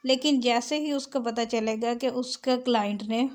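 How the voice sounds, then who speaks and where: A woman with a fairly high voice delivers a lecture. She reads passages out deliberately, then explains them step by step. She moves back and forth between Urdu-Hindi and English as she teaches. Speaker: law lecturer.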